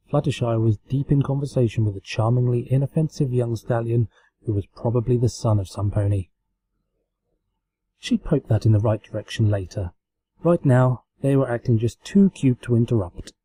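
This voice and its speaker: A British man reads fiction aloud in a very dark, mellow voice. The tone is husky and soft-edged, in an ordinary male register, with the delivery of an amateur storyteller working from a script. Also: medium pitch, no room sound, low-quality recording. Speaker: amateur fan fiction reader.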